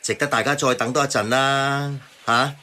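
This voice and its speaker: A man playing a reasonable, informed character who explains the news in measured terms in a radio drama. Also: mid-range voice, steady tone, pushed and bright, lightly acted. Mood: reasonable, explaining.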